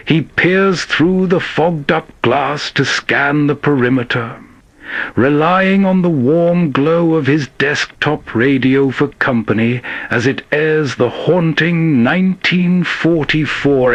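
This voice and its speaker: Male host-narrator, measured scene-setting read, a little higher-pitched, dry studio sound. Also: fairly bright tone, no room sound. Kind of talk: atmospheric scripted narration.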